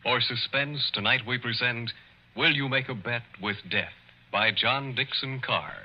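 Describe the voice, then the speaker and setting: A man's voice: medium pitch, unhurried. A man announcing in the style of a 1940s radio programme. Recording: dull, noisy old radio recording.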